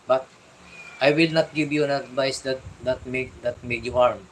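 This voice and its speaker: A middle-aged man from the Philippines with a Filipino accent, chatting on a livestream and giving fatherly advice in a halting way, repeating and restarting his words. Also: mid-range voice, steady tone, a little hiss or hum, poor audio. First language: Tagalog. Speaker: vlogger. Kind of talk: casual livestream chat.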